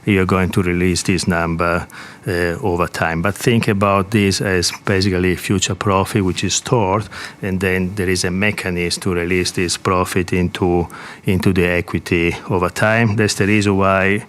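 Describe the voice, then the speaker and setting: Deep-voiced guy presenting financials to analysts, explaining stuff, lots of hesitating and repeated words. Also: flat delivery, moderate pace, a bit bright. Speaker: company executive presenter.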